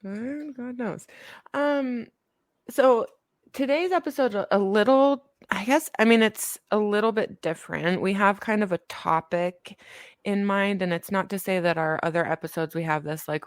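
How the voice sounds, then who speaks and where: A woman co-hosting a podcast in casual, tired-sounding chat that is relaxed and full of laughter. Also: mid-range voice, no echo, studio quality. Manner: laughs often, relaxed and chatty. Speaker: podcast co-host.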